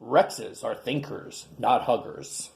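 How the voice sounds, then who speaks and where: A man voicing a big, wise father dinosaur in a storybook read-aloud, speaking in a slow, measured way. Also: mid-range voice, big pitch swings, gravelly, darkish tone, voice pushed down, heavily acted. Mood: lecturing. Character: big wise father T. rex, calm and learned.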